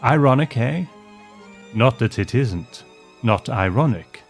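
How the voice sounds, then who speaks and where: A man's voice: medium pitch, animated, slow, faint music behind. A man voicing a hearty, opinionated old man who rambles fondly with old-fashioned exclamations, in a storybook reading. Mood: proud, wistful. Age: older. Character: hearty opinionated old man reminiscing about his beloved ship.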